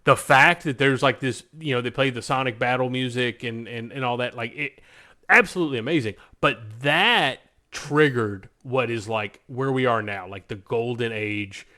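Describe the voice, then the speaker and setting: A man's voice: mid-range voice, normal speed, sharp tone. Enthusiastic man in casual podcast chat. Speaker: podcast co-host.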